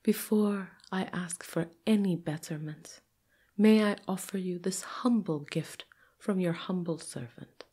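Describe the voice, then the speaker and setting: Woman as a humble, nervous man, husky voice. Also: lowish voice, measured pace, mellow. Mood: humble, formal. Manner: deferential, hesitant. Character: humble, nervous male subject pleading with a king.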